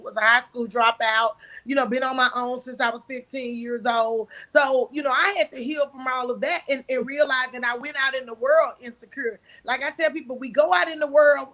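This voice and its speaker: Loud, bright-voiced woman in her forties. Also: sits high. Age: 40s. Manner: loud, big voice; emphatic, preaching tone. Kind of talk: candid conversational talk.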